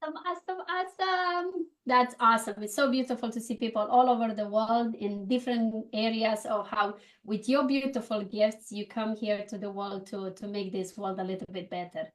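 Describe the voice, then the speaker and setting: A woman's voice: fairly high pitch, normal speed, few pauses. A woman with a Romanian accent hosting a video-call networking circle, bubbly and repeating her words. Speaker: host of a networking event. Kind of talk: casual group introductions.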